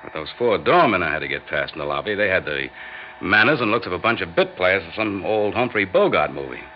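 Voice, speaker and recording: Cocky sarcastic pr guy, deep and lively, dull lo-fi old radio sound. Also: a little fast, a little hiss or hum. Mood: wisecracking. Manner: brash, sarcastic, flirty. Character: wisecracking male public relations man. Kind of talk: 1960s radio drama acting.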